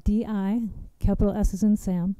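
A soft-spoken young woman giving nervous public testimony at a standing mic in a large hearing room. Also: medium pitch, flat delivery, moderate pace, clear, resonant tone, no echo.

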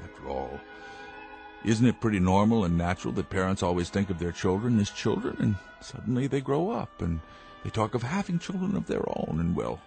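Older inventor dad in a radio drama, earnest n halting, super animated pitch. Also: male voice, creaky, faint music behind. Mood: awkward, placating.

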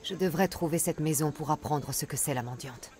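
A woman playing a low, even-toned Greek mercenary heroine. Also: fairly quick, music in the background, close to an everyday voice. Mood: pensive, investigating. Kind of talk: dubbed video game dialogue.

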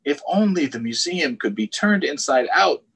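Casting: American man, middle-aged, slightly husky voice, very animated children's storytime reader who explains things as he goes. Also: on the high side, normal speed, poor audio. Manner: expressive, explaining to young children. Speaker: storytime host. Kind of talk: children's storytime read-aloud with chatty asides. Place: small room at home, indoors. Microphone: computer or tablet on a video call.

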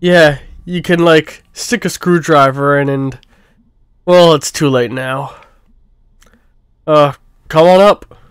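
A mild, nerdy young bear who talks low, soft and unhurried, as a man puts him on. The voice is smooth and clear, recorded dry and close. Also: dry recording. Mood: friendly.

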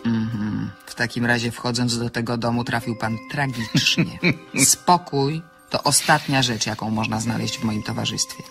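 An actress playing a witty, outspoken single woman in an audio drama, bantering briskly with polite irony. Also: very deep voice, fairly even intonation, creaky, music in the background, heavily acted. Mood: ironic, probing. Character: witty, outspoken single woman writer, teasing and self-ironic. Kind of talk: Polish audio drama dialogue.

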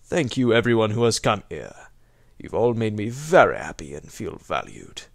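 A man voicing a genial, wealthy mansion host and factory owner who brushes off trouble with a smooth, hospitable manner. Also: lowish voice, big pitch swings, moderate pace, full character voice. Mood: formal. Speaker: party host.

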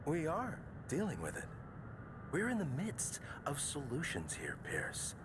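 Casting: smug game fixer, hugely swinging intonation, theatrical needling drawl, noisy rumbling background. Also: male voice, huge pitch swings, measured pace. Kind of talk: cinematic video game acting.

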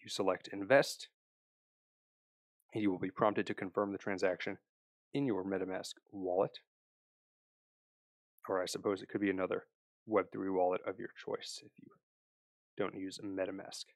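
A young man with a fairly low voice talks viewers through a screen-recorded tutorial step by step. His delivery is relaxed and explanatory, full of "uh"s and small restarts. The sound is close and dry, with no room around it. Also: normal speed, pauses often, no echo. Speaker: crypto tutorial host.

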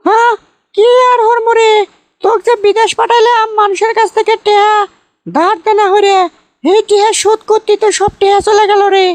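A woman's voice: very high-pitched, little pitch movement, moderate pace, a little hiss or hum. A woman voicing a callous, irritable cartoon village mother with a curt, scolding Bogura dialect from northern Bangladesh. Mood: brusque. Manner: scolding, curt rural delivery. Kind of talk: amateur comedy cartoon dubbing.

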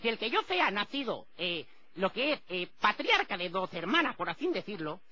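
Shrill, prissy Spanish complainer. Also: male voice, sing-song, normal speed, pushed and bright, exaggerated voice. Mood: fussy, condescending. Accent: Spain. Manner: pompous, wordy, repeating himself indignantly. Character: prissy, easily offended, high-voiced male customer complaining. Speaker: prank caller. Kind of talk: prank phone call in character. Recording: dull, lo-fi phone prank recording.

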